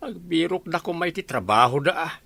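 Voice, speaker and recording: A Filipino man acting a grumbling, critical old father in a radio drama, with a gravelly voice and a Filipino accent. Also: a little high, expressive, a little fast, sharp tone, no echo, exaggerated voice.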